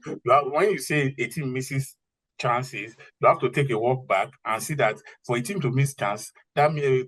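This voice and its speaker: A man's voice: mid-range voice, fairly bright tone, low-quality recording. A man with a mid-range voice discusses football as a pundit. He states his opinions with emphasis and spells out his reasoning. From Africa. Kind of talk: opinionated sports analysis.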